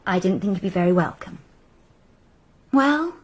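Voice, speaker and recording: An actress playing a defensive, challenging young woman, a pregnant daughter, in a radio drama, with a slightly rough, breathy voice. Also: medium pitch, animated, measured pace.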